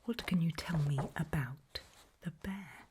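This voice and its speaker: A woman playing a calm, reassuring doctor in an ASMR roleplay, speaking in a gentle breathy whisper. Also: deep voice, measured pace, noisy background. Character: calm reassuring female doctor running a creepy memory test.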